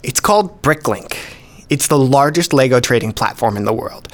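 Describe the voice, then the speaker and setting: A man's voice: mid-range voice, expressive, normal speed, lightly acted. An actor playing a modest, precise male hobbyist collector, explaining things methodically in a radio play. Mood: matter-of-fact, modest. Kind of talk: scripted dialogue, explaining.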